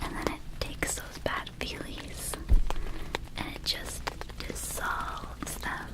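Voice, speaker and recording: A woman voicing a gentle vampire dispensary keeper in a whispered ASMR roleplay, soothing and unhurried, with childlike wording. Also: low-quality recording. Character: gentle female vampire dispensary keeper offering magic herbs.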